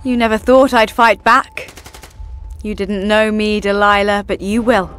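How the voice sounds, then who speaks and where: A woman playing a defiant young princess who was wronged, speaking in a measured, menacing, dramatic way. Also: mid-range voice, flat delivery, a bit bright, clean tone, soft background music, close to an everyday voice. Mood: cold defiance.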